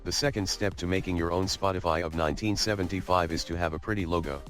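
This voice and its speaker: A man's voice: low voice, steady tone, fast, over loud music. A man narrating a scripted comedic coding video in a jokey, mock-boastful way.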